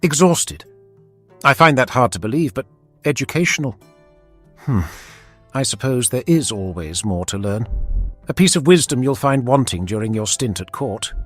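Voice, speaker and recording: A man voicing a poised, coy noblewoman who teases in formal, measured fantasy dialogue. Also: mid-range voice, animated, faint music behind, lightly acted. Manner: formal, measured, gently teasing. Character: poised, coy noblewoman teasing her brother. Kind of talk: fantasy audiobook character dialogue.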